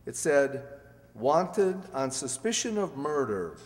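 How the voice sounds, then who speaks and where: A man playing a weary, anxious fugitive doctor and father in an old-time radio drama, troubled and halting, on a hall stage. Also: mid-range voice, big pitch swings. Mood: worried. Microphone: stand microphone. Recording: echoey hall stage recording.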